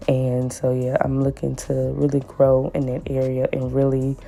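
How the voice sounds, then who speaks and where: A woman with a hoarse, raspy voice from the flu, chatting casually as she narrates a nail tutorial and repeats words for emphasis. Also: completely flat delivery, moderate pace. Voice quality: raspy and deep. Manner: repeats words for emphasis, warm pleading asides. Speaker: nail tech vlogger.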